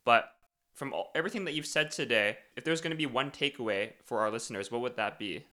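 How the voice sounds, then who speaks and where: A male co-host of a podcast moves the talk toward its close. He speaks quickly in a bright, forward tone. His phrasing is brisk and to the point. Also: mid-range voice. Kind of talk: wrapping up an interview.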